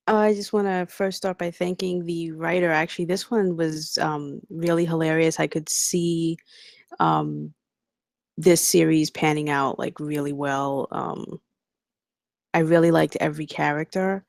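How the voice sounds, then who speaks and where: A woman giving casual feedback in a halting, hesitant way. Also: low-pitched, a little mellow, no echo, studio quality. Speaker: script reader giving feedback.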